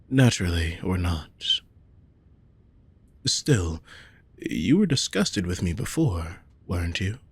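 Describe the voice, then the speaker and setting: A man playing a possessive, lovesick wealthy nobleman in a romantic audio roleplay, speaking formally and deliberately to the listener. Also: on the low side, darkish tone. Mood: wounded, probing. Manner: formal, measured, deliberate phrasing.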